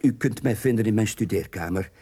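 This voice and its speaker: A man's voice: lowish voice, a little fast, mellow, husky. An actor playing a courteous, effusive Venetian count and father, gracious and eager to please, in a radio drama.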